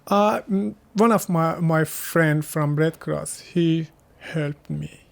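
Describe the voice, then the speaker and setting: Afghan-accented man, slightly creaky, higher-pitched voice. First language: Hazaragi. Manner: halting, searching for words in non-native English. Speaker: interviewee. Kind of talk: casual interview conversation.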